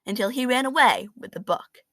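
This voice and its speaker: A woman reading a children's picture book aloud in an expressive, animated storytelling manner with dramatic emphasis. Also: on the high side, fairly quick, no echo, clean studio sound.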